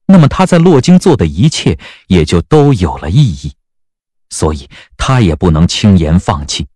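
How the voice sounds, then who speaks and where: A man's voice: sits low, big pitch swings, moderate pace, mellow, dry recording, studio quality. A man narrating a scripted audio drama in a dramatic storytelling style. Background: background music.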